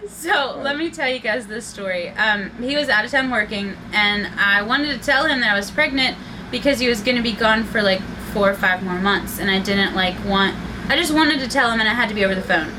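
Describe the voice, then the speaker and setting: Family vlogger woman, chatty, giggly, slightly high voice. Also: a little fast, noisy background. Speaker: channel host. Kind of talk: casual chat.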